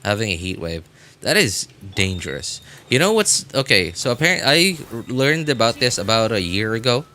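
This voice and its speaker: Bright-voiced man chatting casually on stream. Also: fairly low pitch, big pitch swings, normal speed. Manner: informal, rambling, repeats phrases for emphasis. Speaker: live game streamer. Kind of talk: casual chat with stream viewers.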